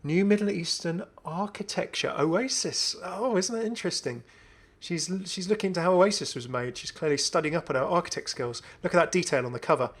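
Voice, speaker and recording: A man's voice: a little high, brisk, few pauses, a bit bright. Chatty man commentating on games. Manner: chatty, enthusiastic, thinking aloud. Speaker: gaming video host. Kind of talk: casual game update commentary.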